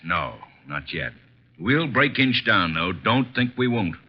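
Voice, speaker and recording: A stubborn, short-tempered cop in a vintage radio drama. His voice is fairly low but swings widely as he bluntly insists. The sound is a dull old broadcast. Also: big pitch swings, slow, exaggerated voice. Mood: impatient, defensive. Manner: blunt, forceful, emphatic. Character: gruff, impatient, stubborn male police inspector. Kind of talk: 1940s-50s radio mystery acting.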